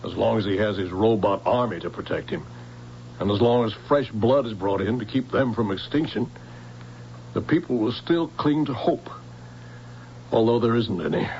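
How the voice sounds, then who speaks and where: A creaky-voiced old professor, acted by a man, weary and wry, speaking in grand bitter phrases. It is vintage radio drama, muffled and laced with hiss. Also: fairly low pitch, moderate pace, a little mellow, close to an everyday voice. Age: older.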